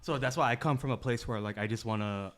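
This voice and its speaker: Man, podcast host, very quick pace, bright forceful voice, earnest, repetitive phrasing. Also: mid-range voice. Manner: earnest, repeats words and affirmations. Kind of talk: casual group podcast chat.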